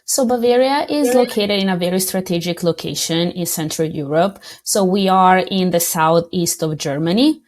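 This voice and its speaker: A woman from Italy with an Italian accent, answering interview questions as a tourism representative in a measured, explanatory way. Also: fairly low pitch, a little mellow. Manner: explanatory, measured, with small slips.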